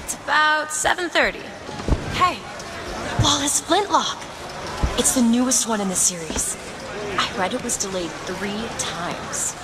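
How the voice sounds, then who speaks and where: A woman playing a spirited, earnest, sheltered young woman, eager and expressive in manner. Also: on the high side, a little slow, noisy background. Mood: bright, eager. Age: young adult.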